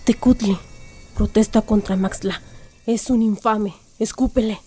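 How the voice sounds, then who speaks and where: A woman's voice: medium pitch, steady tone, a little slow, mellow, slight background noise, lightly acted. A woman playing an urgent, grave messenger in an ancient royal court, in an audio drama. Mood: urgent, defiant. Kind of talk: dramatized historical audio story acting.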